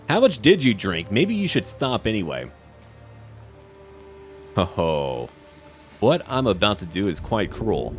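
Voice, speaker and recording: A man giving casual let's-play commentary, thinking aloud in a chatty, relaxed way and calling out to his party. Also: on the low side. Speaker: gamer playing a role-playing game.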